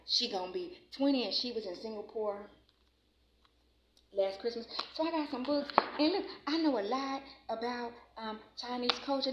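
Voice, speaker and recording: A woman from Chicago in her forties, casually reviewing a book on a vlog, reading aloud haltingly and rambling with many ums. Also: medium pitch. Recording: echoey room, muffled lo-fi with faint noise.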